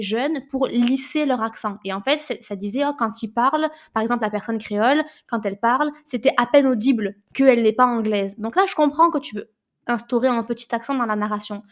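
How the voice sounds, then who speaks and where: A woman, co-hosting a casual book podcast, animated and often repeating words as she searches for them. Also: fairly high pitch. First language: French. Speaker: podcast co-host.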